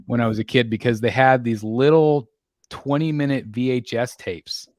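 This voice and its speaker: A man in his forties hosting a casual panel chat, relaxed and full of ums and restarts. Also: mid-range voice, normal speed, no room sound, clean studio sound. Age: 40s. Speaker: host.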